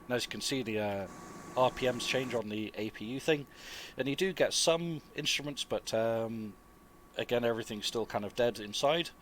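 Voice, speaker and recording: A man's voice: mid-range voice, moderate pace, a little hiss or hum. Chesty man rambling through casual game commentary. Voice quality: slightly chesty from a cold. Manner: rambling, relaxed, informal. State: getting over flu, chesty cough. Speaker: gaming video host. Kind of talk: casual flight simulator gameplay commentary. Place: dry close-miked voice-over indoors.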